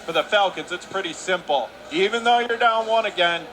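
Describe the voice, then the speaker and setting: A man doing radio play-by-play of a hockey game, calling fast and rising sharply into excitement on goals. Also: sits high. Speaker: play-by-play announcer. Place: arena broadcast position. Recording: noisy arena broadcast.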